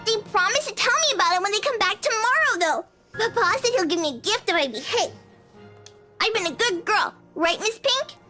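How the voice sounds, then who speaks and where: A woman's voice: very high voice, lively intonation, brisk, bright, forceful tone, heavily acted. A woman voicing a cheerful, chatty little schoolgirl in a visual novel game, childlike and bubbly. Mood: chatty, innocent. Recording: game music behind the voice.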